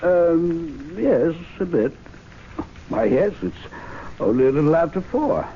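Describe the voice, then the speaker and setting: An actor playing a stuffy, self-important gentlemen's club member in an old-time radio drama, speaking formally and pompously. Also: male voice, on the high side, animated, takes it slowly. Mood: affronted. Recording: dull lo-fi radio recording with hiss.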